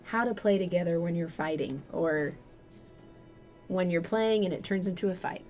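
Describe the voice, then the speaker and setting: A woman's voice: fairly low pitch, moderate pace, a little mellow, soft background music. A woman in her thirties co-hosting a gaming video, chatting in a bubbly, talkative way. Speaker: co-host, host's wife. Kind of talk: casual chat. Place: home recording room.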